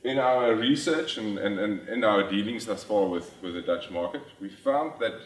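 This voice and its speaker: A South African man with a lowish voice presents to a conference audience, pausing often on ums and restarting phrases as he explains. His first language is Afrikaans. The sound is roomy and distant, picked up from well off the mic in a large hall. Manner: frequent ums and restarts, plain explanatory delivery. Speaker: conference speaker. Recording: echoey hall, from far off the mic.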